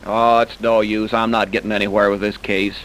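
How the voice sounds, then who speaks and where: An actor playing a brisk, sceptical police officer in an old-time radio crime drama, questioning in gruff, slangy style. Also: male voice, on the low side, flat delivery, fairly bright tone, slight background noise, close to an everyday voice, poor audio. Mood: frustrated. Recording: vintage radio broadcast recording.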